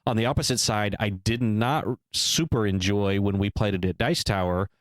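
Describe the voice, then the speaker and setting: Podcast co-host, male, hesitant and deliberate, clean resonant tone, dead-dry booth. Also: lowish voice, fairly even intonation, no echo. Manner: hesitant, weighing both sides with many restarts. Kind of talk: casual discussion.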